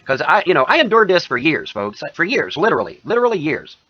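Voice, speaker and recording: A man's voice: fairly high pitch, very animated, fast talker, pushed and bright, dry recording. A man giving a rambling, folksy commentary to his viewers, self-assured and calling them folks. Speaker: commentator in a played video clip. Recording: lo-fi dull sound.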